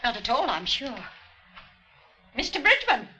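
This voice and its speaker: High-voiced, polite landlady. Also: wildly swooping pitch, a little slow, bright, forceful tone, soft background music, exaggerated voice. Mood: calling. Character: polite, anxious landlady and mother. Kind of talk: 1940s radio acting. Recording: noisy old radio recording.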